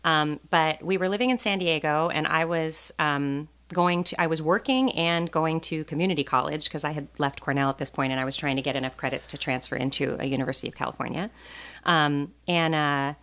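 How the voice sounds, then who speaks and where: An American woman telling stories casually as a podcast guest, animated and joking, with plenty of "like" in her talk. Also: low voice, a little fast, clean tone. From born in Taiwan, raised in a US Navy family. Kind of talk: casual conversational storytelling.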